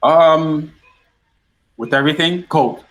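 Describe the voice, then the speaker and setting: A middle-aged man from Guyana, a hip hop artist talking as a podcast guest, laid-back and halting, often repeating himself. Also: fairly high pitch, fairly bright tone, a little hiss or hum. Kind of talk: casual interview chat. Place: podcast studio.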